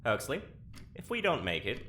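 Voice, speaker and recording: Wary, exasperated prisoner, dry wit, animated bright voice, faint noise, audio drama. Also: male voice, mid-range voice, normal speed. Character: grumbling, sardonic, wary male prisoner. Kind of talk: audio drama dialogue acting.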